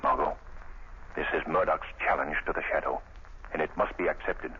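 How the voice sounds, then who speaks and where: An actor playing a calm, resolute male hero in a 1930s radio drama, speaking in a steady, assured way. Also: moderate pace, slightly rough, full character voice. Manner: steady, assured, low-key. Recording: muffled, hissy old radio recording.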